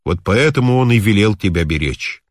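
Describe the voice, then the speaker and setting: Man playing a lively-pitched old mountain healer. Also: a little fast, no echo, studio quality. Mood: calm, reassuring. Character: stern-eyed old Caucasian mountain healer, kindly and talkative.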